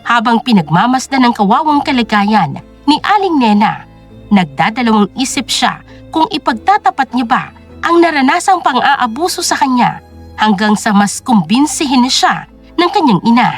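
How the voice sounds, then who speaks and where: A woman's voice: a little high, sing-song, moderate pace, soft background music. A woman with a Filipino accent narrating a scripted story in a dramatic style.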